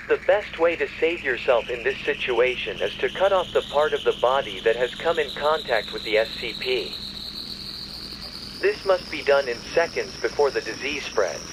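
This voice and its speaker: Loud aircraft-like droning noise surrounds a man's mid-pitched voice. He reads a scripted emergency warning as a formal broadcast announcer. His delivery is measured and official. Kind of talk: scripted emergency alert narration.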